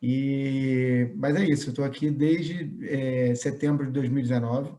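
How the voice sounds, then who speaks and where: A man's voice: mid-range voice, fairly even intonation, unhurried, warm tone. This is a man with a Carioca Brazilian accent and a mid-range voice. He talks casually over a video call, in an easygoing, storytelling way, with frequent fillers and restarts. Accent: Carioca Brazilian Portuguese. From Rio de Janeiro, Brazil. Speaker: guest, historian. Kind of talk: casual conversation.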